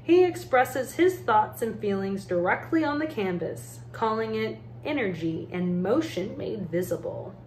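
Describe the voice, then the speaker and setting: A woman reading a children's picture book aloud as an educator, in measured, deliberate phrases with pauses. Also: mid-range voice, lively intonation, darkish tone. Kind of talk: storybook read-aloud narration. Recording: roomy echo, faint background noise.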